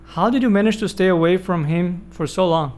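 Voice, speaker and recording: A man interviewing a guest, asking brief questions and offering soft backchannels. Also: high-pitched, a little fast.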